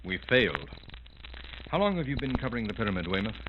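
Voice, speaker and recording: An actor playing a cautious, educated gentleman, the earnest and loyal companion of an investigator in a 1930s radio drama. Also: fairly low pitch, very animated, quick pace. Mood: concerned. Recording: noisy, clicking, dull 1930s radio recording.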